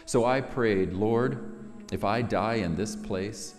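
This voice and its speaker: A man in his fifties giving a solemn, measured poetry reading with dramatic pauses, in a large sanctuary. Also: on the low side. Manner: measured, dramatic pauses between short phrases. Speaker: author reading own work. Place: large synagogue sanctuary, indoors. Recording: long reverberant hall, music behind.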